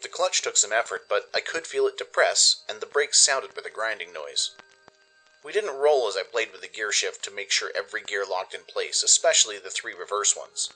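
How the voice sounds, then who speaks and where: A man reading scripted first-person story narration in an expressive, dramatic way, as the narrator of a radio play. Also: on the low side, moderate pace, faint music behind, low-quality recording.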